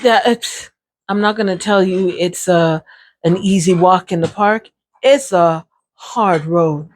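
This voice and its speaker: A woman speaks straight to her viewers in a loose, chatty way and laughs easily. Her voice sits low and a little hoarse. The sound is close and dry, with no room around it. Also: slow pace, dry recording. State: voice getting sore and hoarse. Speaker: channel host sharing her brain injury experience. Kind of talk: casual talk to camera.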